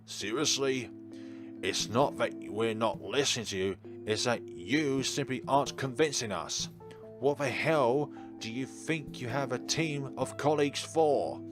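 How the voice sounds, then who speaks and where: A man's voice: medium pitch, unhurried, pitched above the performer's own voice, lightly acted. A man voicing an earnest, encouraging reporter in an emphatic, theatrical read-aloud of a visual novel. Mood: indignant, scolding. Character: earnest young male reporter, self-reflective, encouraging his colleague. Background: game music.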